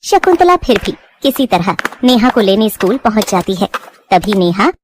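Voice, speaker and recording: A woman's voice: medium pitch, big pitch swings, moderate pace, a little hiss or hum. A woman reading a scripted bedtime moral story as narrator.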